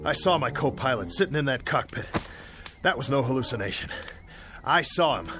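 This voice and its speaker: An actor with an American accent playing a dazed, guilt-ridden young bomber captain in a radio drama, talking to himself in broken, searching phrases. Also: male voice, medium pitch, expressive, brisk, over music, lightly acted. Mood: agitated, insistent.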